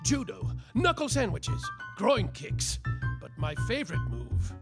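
A man's voice: extremely high pitch, very animated, a little slow, vocal fry, loud music behind the voice, heavily acted. A man playing a world-weary, bumbling private detective, melodramatic and self-important, in a noir parody radio play. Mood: dry narrating.

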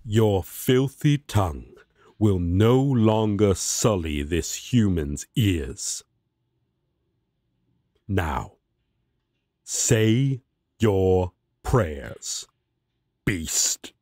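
Man acting a pompous, low-voiced angel warrior. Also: very animated, extremely slow, long pauses, dark tone, dry recording, clean studio sound, full character voice. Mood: stern. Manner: archaic, preachy, self-important. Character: righteous, pompous, commanding male angel warrior. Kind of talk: fantasy audio roleplay acting.

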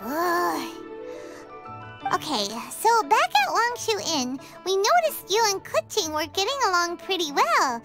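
A woman voicing a small, excitable, childlike fairy companion in a video game, with an exclamatory way of talking. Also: extremely high pitch, measured pace, heavily acted. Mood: reluctant. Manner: refers to self in third person, exclamatory. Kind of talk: video game cartoon voice acting. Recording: music under the voice. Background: game music.

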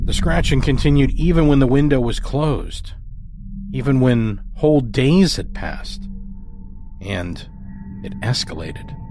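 A man narrates as the host of a horror podcast, dramatic and deliberate. His pace is slow and his pitch moves expressively, with clear music playing behind him. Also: animated, a little mellow. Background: music score.

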